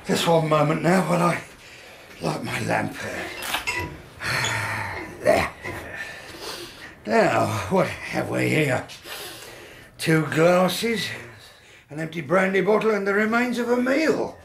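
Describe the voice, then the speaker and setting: Creaky, high-voiced British police inspector. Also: male voice, animated, slow pace, long pauses, crowd noise, full character voice. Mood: wary. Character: plain-spoken, cautious male police inspector. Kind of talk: radio drama acting.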